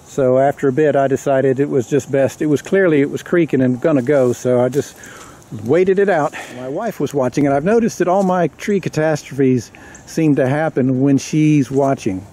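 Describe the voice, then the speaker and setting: A man talking casually to camera outdoors in a woodland clearing, rambling and correcting himself as he explains. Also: mid-range voice, moderate pace, dark tone. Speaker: vlogger.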